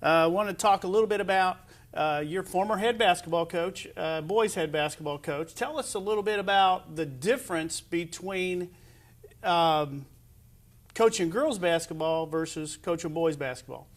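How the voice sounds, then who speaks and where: A man's voice: high voice, moderate pace. A middle-aged man speaking as an athletic director hosting a local sports interview show. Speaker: host, high school athletic director.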